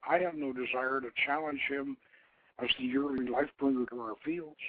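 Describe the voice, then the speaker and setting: A man's voice: medium pitch, steady tone, moderate pace, narrow, tinny audio, a little hiss or hum, lightly acted. A man voicing a proud, clever young hunter prince in a storybook legend, speaking in a formal, declamatory and defiant way.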